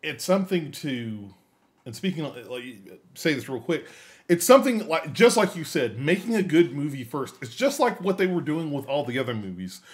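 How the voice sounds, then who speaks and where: A man hosting a podcast in casual discussion, haltingly repeating phrases as he builds his point. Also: on the high side, big pitch swings. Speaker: podcast host.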